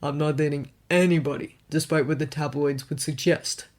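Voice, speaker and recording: Woman doing a stern guarded rich guy, clipped deadpan, low voice. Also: normal speed, close to an everyday voice. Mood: weary. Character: stern, guarded wealthy man, cold and curt.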